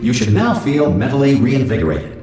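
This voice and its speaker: A man's voice: medium pitch, big pitch swings, a little fast, lightly acted. Man as an echoing, officious automated announcer. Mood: bland, instructive. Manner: formal, scripted, blandly cheerful. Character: polite, officious male automated facility announcer machine. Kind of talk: recorded public announcement in a video game. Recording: echoing public-address announcement over music.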